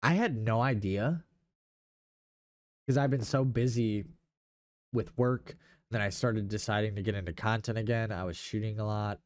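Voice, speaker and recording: A man's voice: lowish voice, normal speed, long pauses, dry recording, clean studio sound. A young man in casual gaming chat, speaking in a slangy, enthusiastic way. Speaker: podcast host.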